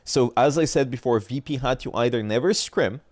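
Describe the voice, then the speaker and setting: A man's voice: mid-range voice, fairly quick, darkish tone, no echo. A man streaming casually, rambling and arguing about competitive teams and often losing his thread, with a stuffy nose. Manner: rambling, argumentative, trails off mid-point. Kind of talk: casual gaming chat.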